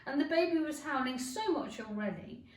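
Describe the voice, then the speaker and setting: A woman giving an animated, emphatic narration of a children's storybook, like a teacher. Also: high-pitched, normal speed. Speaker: schoolteacher reading a story. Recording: echoey room, distant microphone.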